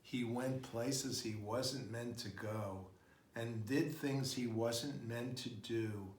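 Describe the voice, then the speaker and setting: A man reading a children's picture book aloud in an expressive, unhurried storytelling style. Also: mid-range voice, slight background noise. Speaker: storybook reader. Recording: roomy, echoing sound.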